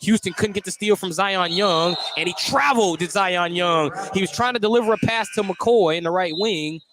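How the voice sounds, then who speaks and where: A man's voice: high-pitched, moderate pace, noisy background. An American man doing live play-by-play basketball commentary on the radio.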